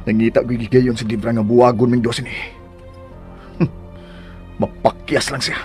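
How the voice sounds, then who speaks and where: An actor playing a devoted but firm husband in an expressive, melodramatic radio drama style. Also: male voice, mid-range voice, moderate pace, over loud music, lightly acted. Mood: pondering. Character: devoted husband, affectionate at home, firm with rivals.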